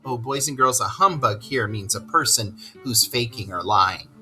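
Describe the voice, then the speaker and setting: A man reading a children's story aloud with expressive, dramatic, deliberate narration. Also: medium pitch, faint music behind.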